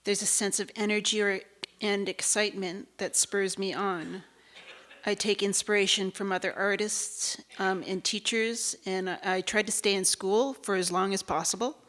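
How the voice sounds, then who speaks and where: A woman's voice: medium pitch, fairly even intonation, moderate pace, fairly bright tone. A woman from Ontario with a Canadian accent giving an informal artist talk, hesitant and searching for her words.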